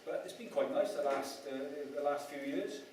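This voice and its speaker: A man raised in Wales gives a chatty, self-mocking talk to an audience, often tossing questions at them. His voice sits a little high. It is heard from a distance in a strongly echoing room with faint noise behind. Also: lively intonation, normal speed, a little mellow. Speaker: speaker at a property event. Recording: echoey room, mic at a distance.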